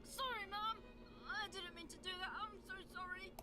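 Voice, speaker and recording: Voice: extremely high pitch, steady tone, normal speed, sharp tone, soft background music, heavily acted. An actor voicing an eager, careless young shop boy in a visual novel, bouncy and polite in manner. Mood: flustered, apologetic.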